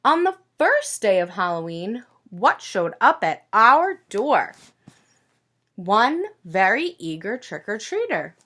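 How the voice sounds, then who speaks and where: A woman teacher reading a picture book aloud to young children in an expressive, sing-song way, pausing to ask them questions. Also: mid-range voice, slightly rough.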